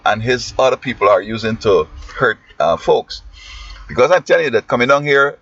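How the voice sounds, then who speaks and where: A man's voice: medium pitch, fairly bright tone. A man from Guyana with a Guyanese accent, a schoolteacher giving rambling, preachy commentary that often trails off mid-sentence. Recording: muffled lo-fi with faint hiss.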